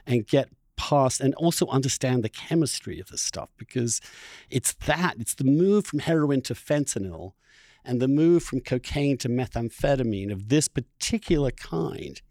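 A male podcast host in animated interview conversation, talking discursively and emphatically, building his points in repeated phrases. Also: medium pitch, moderate pace, dry recording.

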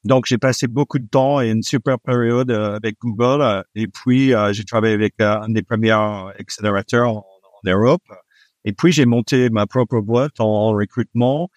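A man's voice: mid-range voice, moderate pace, dry recording. Man speaking French with a foreign accent. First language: not French. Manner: frequent hesitations with "euh", warm laughs. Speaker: guest, executive recruiter. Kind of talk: relaxed interview conversation.

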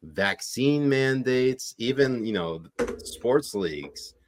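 A man's voice: medium pitch, animated, slow pace, noisy background. A man talking politics in a casual podcast chat, rambling with lots of filler words.

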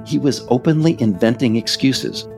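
An American man reading scripted political nonfiction as author and narrator, in a measured, emphatic way. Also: mid-range voice, lively intonation, creaky, music in the background.

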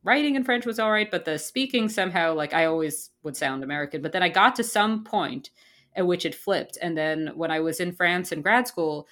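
A woman's voice: fairly low pitch, brisk, a bit bright. A woman co-hosting a podcast in casual chat, playful and ironic, rambling with fillers and laughs. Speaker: podcast co-host.

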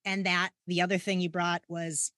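A woman hosting an interview, effusive and affirming, asking her guest to go deeper. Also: flat delivery, moderate pace, a bit bright, no room sound, studio quality. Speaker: podcast host.

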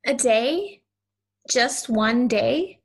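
A woman's voice: a little high, animated, drawn-out words, vocal fry, slightly rough, heavily acted. A woman playing a lonely, stubborn ten-year-old girl in an audio play, speaking in an earnest, insistent, childlike way. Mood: saddened, questioning.

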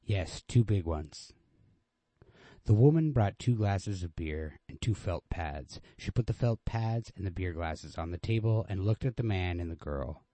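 A man with a low, mellow voice, recorded close and dry. He sounds informal and unrehearsed, like a host casually reading a story aloud. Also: animated, normal speed, hardly any pauses, no room sound. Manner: informal, self-deprecating, unrehearsed.

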